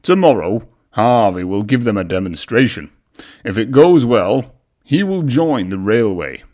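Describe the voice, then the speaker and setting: A man's voice: lowish voice, lively intonation, measured pace, darkish tone. A man voicing a proud, reassuring middle-aged railway boss in a storybook, speaking with authority. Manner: declarative, authoritative.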